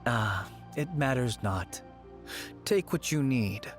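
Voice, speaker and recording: A man playing a serene, wistful and cryptic shrine dweller in a video game, measured and poetic. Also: medium pitch, animated, soft background music. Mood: resigned.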